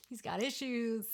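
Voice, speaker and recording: Reflective woman in casual discussion. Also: on the high side. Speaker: voice actor discussing her character.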